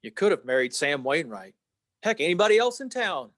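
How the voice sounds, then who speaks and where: A man's voice: fairly high pitch, big pitch swings, brisk, a bit bright, no echo, clean studio sound. A man playing an earnest, flustered young small-town family man in an old-time radio play, stammering and using folksy exclamations. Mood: downcast.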